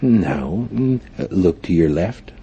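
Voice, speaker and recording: A man with a very deep, dark and mellow voice leads a guided meditation. His intonation swings widely as he gives formal, deliberate instructions, dotted with thoughtful hums. It is a live recording with faint noise behind him. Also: lively intonation, husky. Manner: formal, deliberate phrasing punctuated by frequent hums.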